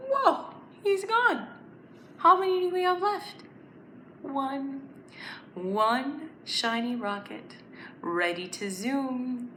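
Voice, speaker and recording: Slow, bright, animated woman teaching preschoolers. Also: high-pitched. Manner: animated, enunciated, encouraging children to join in. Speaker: children's story time presenter. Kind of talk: preschool lesson with songs and counting rhymes. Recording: roomy echo, faint background noise.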